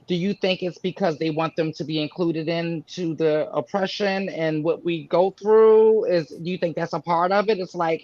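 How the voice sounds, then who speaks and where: Animated woman on a dull, lo-fi line. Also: fairly low pitch, no echo. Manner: animated, asking questions. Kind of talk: casual panel discussion.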